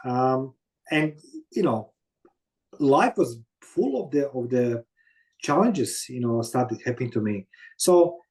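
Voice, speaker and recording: A man in his fifties with a Croatian accent, speaking in a mellow, darker tone. He talks haltingly in non-native English and leans on "you know" over and over as an interview guest. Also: mid-range voice, lively intonation, low-quality recording. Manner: halting, many fillers and "you know what I mean". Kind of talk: casual interview storytelling.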